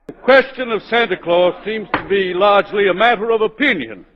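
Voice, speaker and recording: A man's voice: high voice, lively intonation, fairly quick, a little hiss or hum. An older actor playing a flustered, dignified grandfatherly judge with a hesitant, weighty manner in a radio play. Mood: stately. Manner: hesitant, weary, pompous on the bench. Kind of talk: 1940s radio acting. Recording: dull vintage 1940s radio recording.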